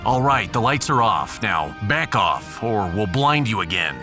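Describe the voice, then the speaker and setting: A man's voice: lowish voice, big pitch swings, measured pace, music in the background. A man playing a wry, resolute and hopeful starship captain in a sci-fi audio drama, speaking earnestly.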